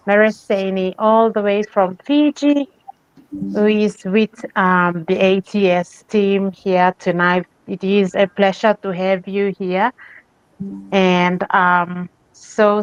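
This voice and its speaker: A woman's voice: medium pitch, clear, resonant tone, no room sound, poor audio. A woman from Papua New Guinea hosting a live video call, graciously welcoming guests and running introductions. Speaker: host. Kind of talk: livestream introductions.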